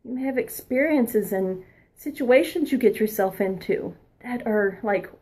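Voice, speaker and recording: A woman past her twenties giving a spiritual oracle card reading, earnest and encouraging, sometimes dropping into a soft whisper. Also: on the high side, expressive, measured pace, husky. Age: adult past her twenties.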